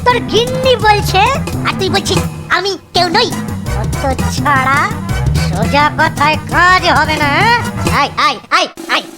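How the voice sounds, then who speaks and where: A performer voicing a bullying, impatient bandit chief in a cartoon, gruffly barking and repeating orders. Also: very high-pitched, expressive, a little slow. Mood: angry. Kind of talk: cartoon voice acting. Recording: loud music behind the voice.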